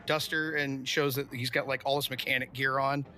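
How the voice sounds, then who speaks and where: Bright-voiced man rambling through game narration. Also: medium pitch, level tone, moderate pace. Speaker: player. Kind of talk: casual, improvised tabletop game narration.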